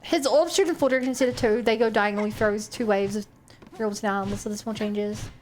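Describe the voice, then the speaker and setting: A woman's voice: medium pitch, fairly even intonation, fast talker, darkish tone, slight background noise. A woman with a New Zealand accent streaming a game, chatting casually, thinking aloud and answering her chat. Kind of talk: casual gameplay commentary.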